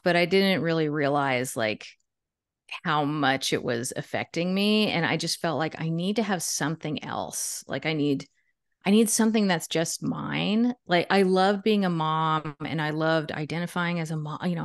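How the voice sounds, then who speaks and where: Woman in her thirties, podcast guest, chatty and laugh-prone, slightly low voice, dry close-mic sound. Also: no echo. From United States. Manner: chatty, laughs often, many restarts. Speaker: podcast guest, chicken educator. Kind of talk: casual interview storytelling. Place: home room with bookshelves. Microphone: studio mic on video call.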